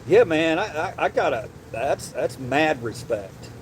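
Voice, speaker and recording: Animated man chatting with his stream. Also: on the high side, a little slow, a little hiss or hum, low-quality recording. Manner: animated, swears casually, says bro and man a lot. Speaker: livestream host reading chat. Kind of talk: casual livestream chat. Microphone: desk mic, sometimes leaning away.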